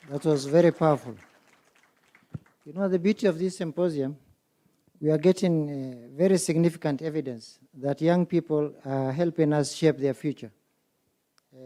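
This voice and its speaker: A man's voice: fairly high pitch, mellow. A man from Kenya moderating a symposium, asking the audience one rhetorical question after another. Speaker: conference moderator. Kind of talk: public speaking at a symposium. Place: conference hall.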